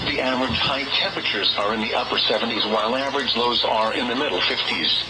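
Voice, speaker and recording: A man's synthetic voice reads a scripted weather bulletin in a formal, measured way over a weather radio broadcast. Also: medium pitch, heavy background noise. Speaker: automated weather radio announcer.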